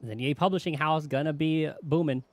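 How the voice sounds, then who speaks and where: A man's voice: on the high side, fast talker. A man giving casual live commentary as a game streamer.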